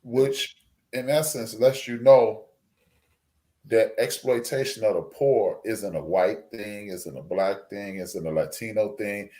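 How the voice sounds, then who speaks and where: A man's voice: on the low side, slow, warm tone. A man reviewing a film on a podcast, talking in a reflective, lecturing way with frequent restarts. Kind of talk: casual discussion.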